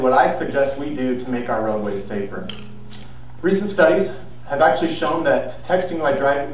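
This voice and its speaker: A young man giving an earnest, measured persuasive speech on an auditorium stage, heard from a far camera. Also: medium pitch. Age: young adult. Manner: earnest, measured, with some restarts. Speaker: student speaker. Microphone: far camera, no visible mic. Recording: very echoey hall, distant mic, faint noise.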